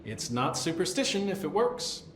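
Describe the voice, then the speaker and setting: An actor playing a fussy, deadpan middle-aged keeper of strange items, with dry rambling talk and awkward fillers. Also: male voice, on the high side, moderate pace. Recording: echoey game cutscene with faint hiss.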